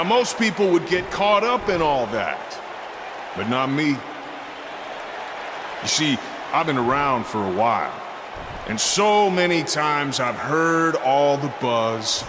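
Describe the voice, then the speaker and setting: A man playing a confident veteran star wrestler delivering a theatrical challenge in a wrestling game. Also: a little high. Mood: challenging. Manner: theatrical, repetitive build-up. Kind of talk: in-ring wrestling promo. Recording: crowd and noise in arena. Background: arena crowd.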